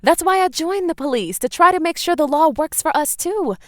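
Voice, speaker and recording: A woman's voice: extremely high pitch, expressive, brisk, no echo, clean studio sound. Firm, earnest woman police chief. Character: stern, principled small-town police chief, protective older sister. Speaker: actor. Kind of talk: audio drama acting.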